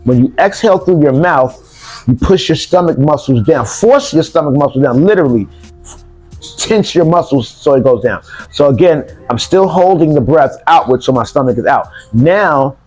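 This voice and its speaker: A man's voice: on the high side, lively intonation, moderate pace, a little mellow, faint music behind, poor audio. A man teaching self-defense breathing exercises, repeating cues and counting breaths aloud. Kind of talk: demonstration lesson.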